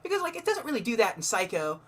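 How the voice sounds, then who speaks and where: A woman co-hosting a podcast, chatting casually about films in a halting way, circling back over her phrases. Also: a little high, big pitch swings. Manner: halting, repeats and restarts phrases. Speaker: podcast co-host.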